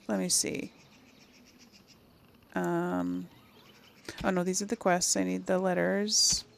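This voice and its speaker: Creaky-voiced woman narrating her gameplay. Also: lowish voice, level tone, slow pace, pauses often, slight background noise. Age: 30s or older. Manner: chatty, thinking aloud. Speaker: game streamer, role-play series host. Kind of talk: casual game commentary with reading aloud.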